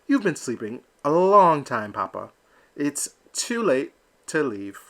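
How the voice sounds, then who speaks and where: A man voicing Elmer, a gentle, loyal young male duckling, soft and reassuring. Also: on the high side, sing-song, takes it slowly, exaggerated voice.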